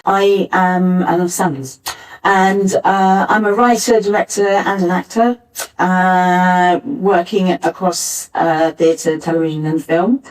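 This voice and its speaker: A woman's voice: lowish voice, steady tone, slow pace. A middle-aged woman introducing herself as a panelist at a live discussion. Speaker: panelist, writer, director and actor. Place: arts centre theatre, live panel. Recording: distant backup live recording.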